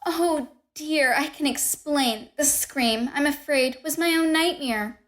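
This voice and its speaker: A woman's voice: high voice, takes it slowly, fairly bright tone. A woman playing an unhinged, guilty murderer who narrates with false politeness and growing paranoia in a horror radio play. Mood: falsely polite, nervous. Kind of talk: dramatic first-person horror monologue in radio play. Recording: echoey room with long reverb.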